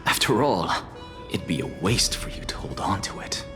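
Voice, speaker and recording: A proud one-eyed young scholar. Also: male voice, deep voice, sing-song, moderate pace. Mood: needling. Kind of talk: game voice acting. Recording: roomy echo with music behind.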